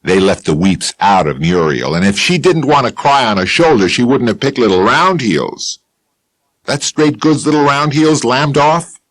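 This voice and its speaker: A man voicing a blunt, combative middle-aged caretaker with a rough, growling edge in an audiobook. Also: medium pitch, expressive, normal speed, a bit bright, dry recording, pitched above the performer's own voice. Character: gruff, hard-handed middle-aged caretaker. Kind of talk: audiobook character voice.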